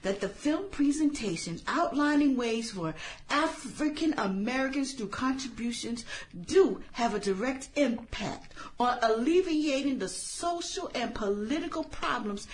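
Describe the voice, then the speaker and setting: A woman acting an idealistic, reserved filmmaker in a satirical radio play. Her fairly high voice moves with very lively intonation as she explains things earnestly and a little formally. Some noise sits behind her. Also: poor audio. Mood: earnest, lecturing. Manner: measured, explanatory, slightly formal.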